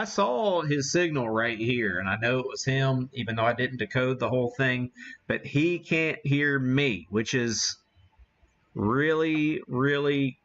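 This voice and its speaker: Man, lowish voice, unhurried and halting, casual livestream host thinking aloud, muffled lo-fi sound. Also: fairly bright tone. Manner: halting, thinking aloud while operating equipment. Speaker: amateur radio streamer and host. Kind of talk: casual live demo commentary.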